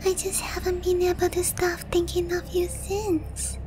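A woman playing an obsessive young vampire princess in a slow, cooing, breathy half-whisper for an ASMR roleplay, sweetly menacing and possessive. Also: very high voice, completely flat delivery, music in the background. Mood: lovestruck, wistful. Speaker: roleplay voice actress.

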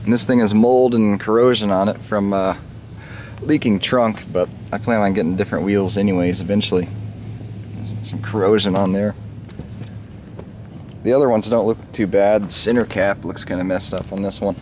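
A man's voice: fairly low pitch, fairly even intonation, normal speed, darkish tone. A man from the American South chatting casually and thinking aloud as he works on his car, offhand and a little profane, recorded on a handheld camera. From southern United States. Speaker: car vlogger. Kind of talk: casual rambling chat while working on a car. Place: outdoors at an open car trunk. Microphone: handheld phone or camera mic. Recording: noisy handheld recording with clicks and clunks.

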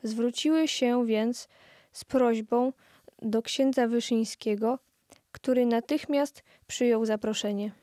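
A woman's voice: fairly high pitch, takes it slowly, mellow, no room sound, clean studio sound. A woman reading scripted narration for a historical radio play.

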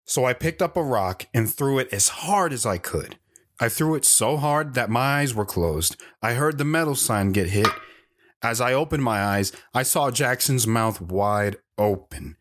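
A man's voice: medium pitch, animated, unhurried, a bit bright. A man playing a wanderer recounting his hard life in first-person audio drama narration. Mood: reflective.

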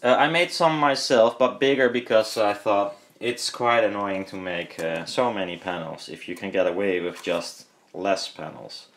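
A man with a low-pitched voice talks through a hands-on demonstration. He is casual and unscripted, wandering from point to point and hesitating as he works out his thoughts aloud. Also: normal speed, hardly any pauses, fairly bright tone. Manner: rambling, hesitant, thinking aloud. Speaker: hobbyist speaker builder and video maker.

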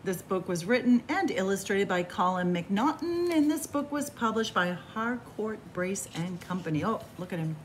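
A middle-aged woman reading a picture book aloud for children, dramatic, with hushed whispers and drawn-out words. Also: medium pitch, very animated, a little hiss or hum. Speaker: library story time host. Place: small library room with bookshelves, indoors.

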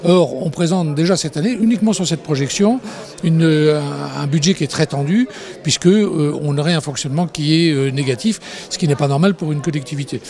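A man's voice: a little high, brisk, a little mellow. A man in his fifties with a French accent answering an interview as a local official, hesitantly and repeating words as he explains. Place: large meeting hall, indoors. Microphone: handheld interview microphone.

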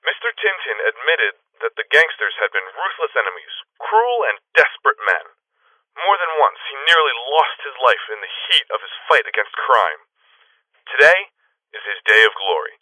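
An actor playing an excited, earnest 1930s radio newsreader, with an old radio effect. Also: male voice, mid-range voice, moderate pace, husky, dry recording, heavily acted. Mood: emphatic. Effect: old radio filter. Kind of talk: 1930s radio news acting. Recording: dull, band-limited old radio sound.

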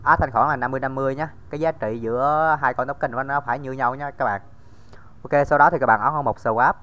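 A man's voice: medium pitch, a little fast, bright, forceful tone, highs cut off. A man giving a casual screen-recorded tutorial, talking straight to viewers with many fillers. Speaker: crypto tutorial host.

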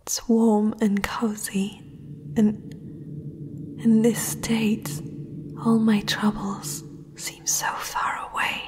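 Casting a woman as a spellbound young princess: haughty, hesitant, mid-pitched breathy whisper, very unhurried, fantasy ASMR. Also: little pitch movement, a little mellow. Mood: dazed, dreamy. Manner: slow, hesitant, dreamy delivery. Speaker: ASMR roleplay performer.

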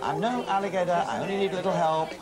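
A middle-aged man speaks radio slang into a handheld CB microphone. His voice is fairly high, and a very loud restaurant crowd surrounds it. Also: fast. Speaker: television reporter. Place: busy restaurant.

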